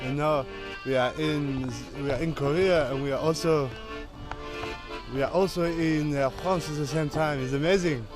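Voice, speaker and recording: A man's voice: a little high, fairly even intonation, moderate pace, music in the background. A man talking to the camera in a casual travel vlog, chatty and playful. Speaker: travel vlogger.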